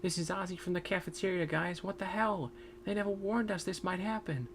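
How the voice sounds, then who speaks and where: A man's voice: sits high, fairly quick, creaky, slight background noise. An actor playing a stranded male survivor pleading for rescue over a game radio transmission. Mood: urgent.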